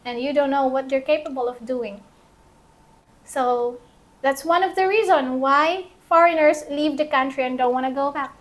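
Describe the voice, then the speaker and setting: A woman co-hosting a vlog, explaining a numbered list in a deliberate way. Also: sits high. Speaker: vlog co-host. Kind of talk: presenting a numbered list to camera.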